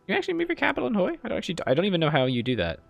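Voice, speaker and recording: A man in his thirties with a gravelly voice, chatting away as he streams a strategy game from his room. He jokes and reads out viewer chat. Quiet music plays underneath. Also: sits high, wildly swooping pitch, racing, no echo. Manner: chatty, jokey, reads out chat and thanks followers. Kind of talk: casual strategy game livestream. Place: home streaming room, indoors.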